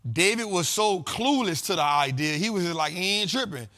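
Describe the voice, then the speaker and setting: A man hosts a money talk show in loose, slangy conversation. His voice sits a little higher than most men's. He stacks repeated lines the way a preacher does. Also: lively intonation, moderate pace, sharp tone. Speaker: host of a finance talk show. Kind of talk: casual motivational monologue.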